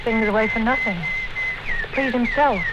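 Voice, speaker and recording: A woman's voice: on the high side, normal speed, lightly acted. An actress playing a secretly rebellious, pragmatic young woman of twenty-six in a 1950s radio drama. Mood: frank, lively. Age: young adult. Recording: dull, noisy 1950s radio recording.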